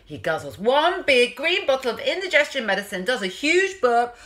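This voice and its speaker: A woman's voice: high voice, sing-song, moderate pace, fairly bright tone. A woman in her thirties hosting a playful storytime, reading aloud with theatrical, exaggerated emphasis and chatty asides. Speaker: parenting vlogger, host. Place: small room at home.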